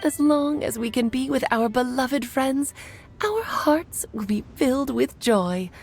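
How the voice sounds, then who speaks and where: A woman's voice: sits high, lively intonation, measured pace, creaky, soft background music, exaggerated voice. A woman playing a playful, worldly elder mage and doting mother in a video game, speaking in an eloquent, theatrical way. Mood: tender, reflective. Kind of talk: scripted video game voice acting.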